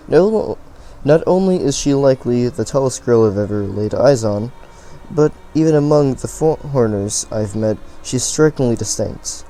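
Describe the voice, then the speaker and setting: A man's voice: medium pitch, mellow, slight background noise, rough recording. A man reading a visual novel aloud, often stumbling and restarting words. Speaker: let's play reader.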